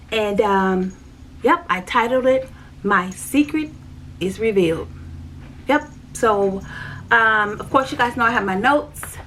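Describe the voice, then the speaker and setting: A woman's voice: medium pitch, a bit bright. A woman vlogger telling her own life story in a candid, rambling way, full of fillers and repeats, talking straight to her viewers. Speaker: vlogger sharing her spiritual journey. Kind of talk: casual personal storytelling.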